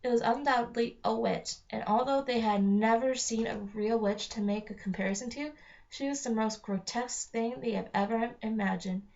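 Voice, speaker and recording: High-voiced woman reading a story aloud. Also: moderate pace, vocal fry, darkish tone. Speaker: narrator reading a novel aloud. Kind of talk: read-aloud children's story narration. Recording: dull lo-fi home recording.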